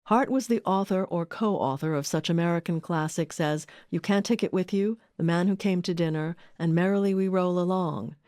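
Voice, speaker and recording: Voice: medium pitch, moderate pace, hardly any pauses, a little mellow, dry recording, studio quality. A documentary narrator reading a scripted history.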